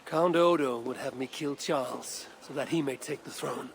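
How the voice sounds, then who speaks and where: An actor playing a stern, battle-hardened Viking warrior in a video game, speaking tersely and gravely. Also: male voice, mid-range voice, moderate pace, slight background noise, natural voice. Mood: grave, blunt. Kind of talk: dramatic video game cutscene acting.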